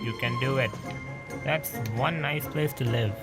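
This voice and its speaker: A man's voice: mid-range voice, unhurried. A young man giving casual commentary on a game he is playing, wry and grumbling. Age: young adult. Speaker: gamer commenting on a playthrough. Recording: game music playing behind the voice.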